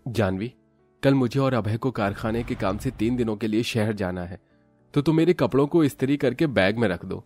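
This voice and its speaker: A man playing a matter-of-fact married son in a cartoon family story, speaking in a plain, low-key way. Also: moderate pace, a little mellow, soft background music, lightly acted. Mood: instructing. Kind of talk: cartoon moral story acting.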